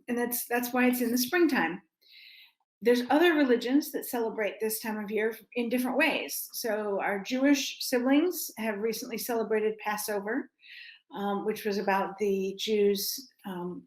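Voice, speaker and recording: A woman's voice: fairly high pitch, darkish tone. A woman speaking simply to children as a church storyteller at story time. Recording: roomy echo.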